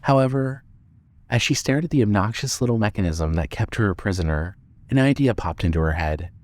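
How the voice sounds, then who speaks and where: A man's voice: lowish voice, animated, brisk. A man narrating a scripted dramatic story as an audiobook-style narrator.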